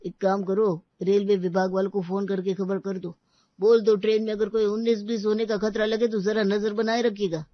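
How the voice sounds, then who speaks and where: A woman voice actor speaking animated, quizzical lines in an acted storybook. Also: mid-range voice, normal speed, no room sound, close to an everyday voice. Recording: dull dry voice-over.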